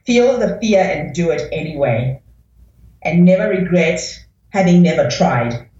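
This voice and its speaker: A woman from Africa, an inspirational speaker, answering interview questions in an earnest, deliberate way. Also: lowish voice, expressive, dark tone. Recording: echoey, dull-sounding remote line.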